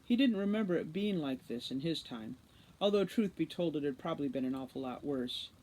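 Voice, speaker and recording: Expressive low-voiced woman reading a storybook aloud. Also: brisk, rough recording. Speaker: audiobook reader, teacher.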